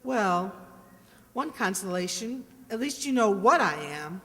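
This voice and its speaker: A woman's voice: fairly high pitch, animated, unhurried, fairly bright tone. A woman playing a spirited, chatty housewife in an old-style radio sitcom. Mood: indignant. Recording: long hall reverb.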